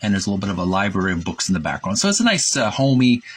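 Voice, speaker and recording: A man reading aloud and describing pictures as a presenter, with an informal, at times halting delivery. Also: fairly low pitch, very animated, slight background noise.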